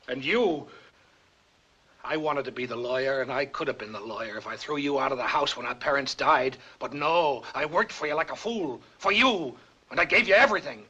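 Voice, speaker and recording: An actor in an old film playing a proud, bitter older brother who shouts when angered. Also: male voice, medium pitch, breathy edge. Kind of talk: 1940s film acting. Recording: dull old film soundtrack.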